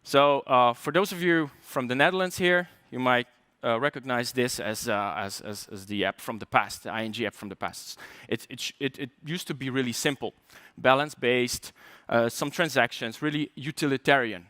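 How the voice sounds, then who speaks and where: A man's voice: mid-range voice, moderate pace, bright, forceful tone, no echo. A man from Poland with a light Polish accent, giving a conference tech talk in a chatty way, with joking asides, fillers and restarts. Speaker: conference speaker.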